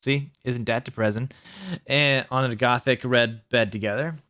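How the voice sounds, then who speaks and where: A man with a mid-pitched voice reads a story aloud as a comic host. His delivery is sardonic and dramatic, breaking off for mocking asides and sounding out the mistakes. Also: fairly even intonation, bright, forceful tone. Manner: deliberately reads out misspellings, sardonic. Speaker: host reading fan fiction aloud.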